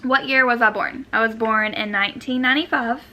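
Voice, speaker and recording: A woman's voice: a little high, fairly even intonation, brisk, pushed and bright. A woman, chatting casually and self-deprecatingly as she answers questions in a vlog at home. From Arkansas, United States. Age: 20s. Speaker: vlogger. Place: room at home.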